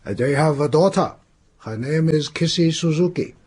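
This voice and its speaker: Husky, higher-pitched police superintendent. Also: male voice, moderate pace, a little mellow. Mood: helpful. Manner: matter-of-fact, briefing. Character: businesslike Japanese police superintendent, helpful official. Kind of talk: radio drama acting.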